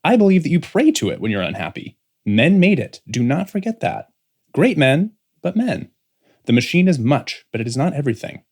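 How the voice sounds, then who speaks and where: A man's voice: a little high, sing-song, moderate pace, darkish tone, husky, full character voice. A man playing an earnest, restless, rebellious grown son who speaks insistently in a full-cast science fiction audiobook. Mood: defiant, insistent. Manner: insistent, intense, pleading.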